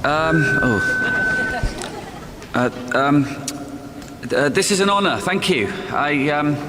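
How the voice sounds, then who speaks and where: A man's voice: on the high side, lively intonation, slow pace, in a large hall, very noisy. An actor playing a timid, bewildered man in a radio drama, hesitant and pleading. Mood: nervous, awed. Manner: hesitant, stammering.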